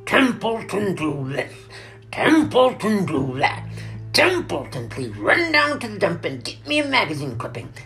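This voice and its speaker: A woman's voice: fairly high pitch, huge pitch swings, darkish tone, faint music behind, voice pushed up, exaggerated voice. Woman acting a grumpy, growling old storybook rat. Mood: sarcastic. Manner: growling, mocking, drawn-out complaints. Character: grumpy, sarcastic, self-pitying old male rat. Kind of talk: dramatic storybook character reading.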